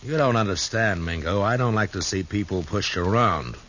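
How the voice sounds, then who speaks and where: An actor playing a blunt, grave, principled frontier marshal in a 1950s western radio drama. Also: male voice, on the low side, normal speed, fairly bright tone, close to an everyday voice. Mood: confrontational. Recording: dull, noisy vintage radio recording.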